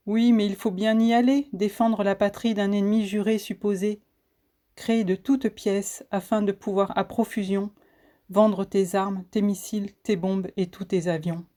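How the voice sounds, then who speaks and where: A woman recites spoken-word poetry in a warm, mellow voice of medium pitch. Her delivery is solemn and declamatory, with each phrase deliberately set out. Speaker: poet reciting own poem.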